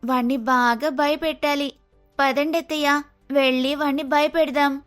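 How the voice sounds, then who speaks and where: A woman's voice: high voice, fairly even intonation, moderate pace, soft background music, close to an everyday voice. A woman playing a bold, scheming young daughter-in-law in a cartoon moral story, insistent and dramatic.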